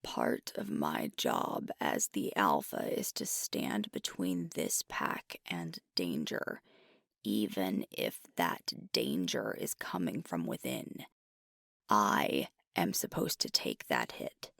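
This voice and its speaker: A woman playing a sweet, self-critical werewolf pack leader in an intimate ASMR roleplay, breathy and often whispering, speaking hesitantly and tenderly. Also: rumbling low, sing-song, fairly bright tone, no room sound, clean studio sound, exaggerated voice. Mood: earnest, resolute. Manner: hesitant, tender, earnest, with long pauses.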